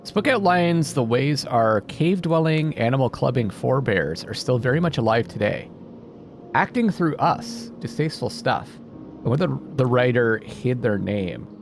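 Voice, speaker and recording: Lively male gamer over prominent music. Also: medium pitch, normal speed. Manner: thinks out loud, muses. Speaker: video game player and host. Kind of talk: casual let's play commentary, reading game text aloud. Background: atmospheric game music.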